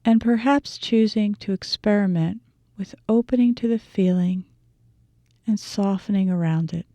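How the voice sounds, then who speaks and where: Woman meditation teacher, dark mellow voice, very dry sound, gentle guiding with pauses. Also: medium pitch, no room sound. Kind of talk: guided meditation instruction.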